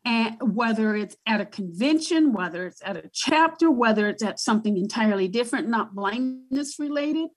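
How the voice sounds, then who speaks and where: Casting: woman with a big booming voice, pitched a bit high, emphatic panel guest. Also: lively intonation, normal speed, hardly any pauses. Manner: emphatic, repeats herself while thinking. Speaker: guest advocate. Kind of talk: panel discussion.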